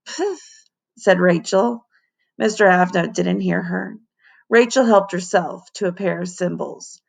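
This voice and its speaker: A woman teacher reading a children's story aloud in an expressive narration, with dramatic emphasis on key words. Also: fairly low pitch, a little slow, creaky.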